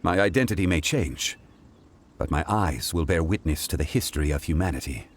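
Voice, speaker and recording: A man voicing a calm, weary ancient god in human form, speaking formally and deliberately in measured video game dialogue. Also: deep voice, slight background noise. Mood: grave, wistful.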